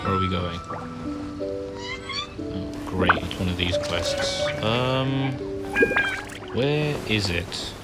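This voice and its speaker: A woman's voice: very deep voice, lively intonation, slow, pauses often. A woman giving casual, sceptical playthrough commentary as a gaming video host, with muttered asides. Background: game music.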